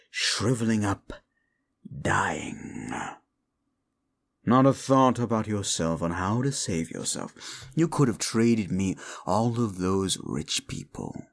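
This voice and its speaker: A man voicing a scornful gravelly demon. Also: fairly low pitch, dry recording, exaggerated voice. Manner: slow, mocking and condescending. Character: scornful male demon bound by a wish contract. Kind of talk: audio roleplay.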